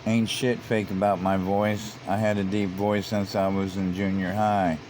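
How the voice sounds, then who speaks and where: A man's voice: sits low, flat delivery, unhurried, a little mellow, a little hiss or hum. A man commenting on online comments, reading them out and repeating himself as he grows heated and emphatic. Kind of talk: casual commentary.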